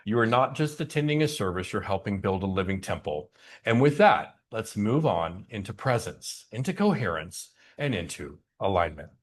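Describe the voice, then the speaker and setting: A man leading an online spiritual service, speaking in a gentle, inviting and personable way as he guides listeners. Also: on the low side, big pitch swings, normal speed.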